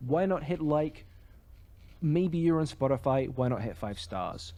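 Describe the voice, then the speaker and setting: A man's voice: on the high side, big pitch swings, unhurried, dark tone. A man co-hosting a podcast, talking in a casual, conversational way. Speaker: podcast co-host.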